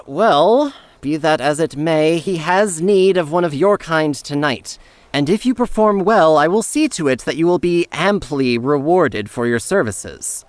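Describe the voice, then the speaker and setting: An actor playing a haughty, fussy chief steward with a pompous, formal, condescending way of talking in an audiobook. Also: male voice, a little high, no echo. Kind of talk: audiobook character acting.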